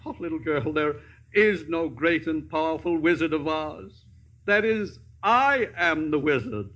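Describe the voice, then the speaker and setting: An actor playing a flustered but kindly older humbug wizard, blustering grandly in 1950s radio drama style. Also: male voice, on the high side, a little slow, fairly bright tone.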